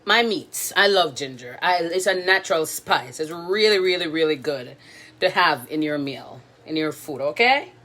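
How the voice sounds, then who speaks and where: A woman's voice: low-pitched, lively intonation, moderate pace, fairly bright tone. A woman hosting a casual cooking livestream from her home kitchen, chatting playfully with her viewers. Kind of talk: casual live cooking chat.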